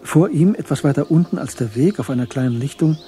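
A man narrating a German literary radio play in a measured, sober storytelling manner. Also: on the high side, animated, warm tone, lightly acted. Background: music.

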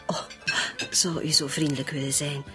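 Woman playing a low-voiced, composed decoy. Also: level tone, normal speed, over music, natural voice. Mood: coaxing, sociable. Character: friendly, cunning hired female decoy, reluctant accomplice. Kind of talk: radio drama acting.

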